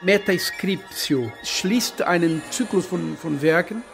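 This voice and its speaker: Guy in his 50s, hunts for words and repeats himself, soft music under him. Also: sits high, expressive, takes it slowly, vocal fry. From Brazil. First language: Portuguese. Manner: careful, searching for words, with repeats and self-corrections. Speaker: composer presenting his work. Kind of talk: radio talk on his own music. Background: faint music.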